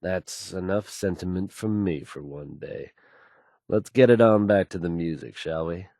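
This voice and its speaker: Casting: smooth wasteland radio host, lower register, dry sound, confident wry patter between songs. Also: male voice, measured pace, mellow, dry recording. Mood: wry, upbeat. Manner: folksy, wry, addresses listeners as folks. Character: confident smooth-talking male post-apocalyptic radio DJ.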